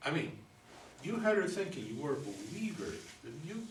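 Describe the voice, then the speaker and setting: A man acting a steady bartender and confidant who asks pointed questions in a staged play reading. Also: fairly high pitch, normal speed. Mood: challenging. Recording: roomy, distant mic, faint noise.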